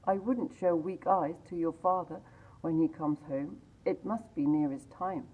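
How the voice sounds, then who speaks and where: A woman voicing a weary, gentle mother of a poor family, speaking softly. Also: deep voice, measured pace, breathy edge. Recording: tinny phone-like sound.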